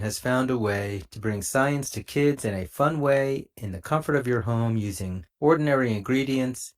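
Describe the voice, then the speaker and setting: Middle-aged guy doing podcast host stuff, medium pitch, measured and clearly worded. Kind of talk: podcast introduction and interview.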